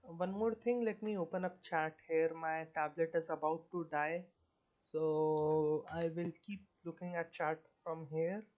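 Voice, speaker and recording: A woman live-streaming a game, reading story text aloud and commenting in a halting way. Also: sits low, fairly even intonation. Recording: dull lo-fi stream microphone.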